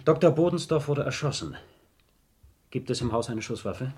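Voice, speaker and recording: Actor as a dry, brusque detective with animated pitch. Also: male voice, normal speed, soft background music, lightly acted. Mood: dry, probing. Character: gruff, sardonic male police detective inspector. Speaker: actor in a crime radio play. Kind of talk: German crime radio drama acting.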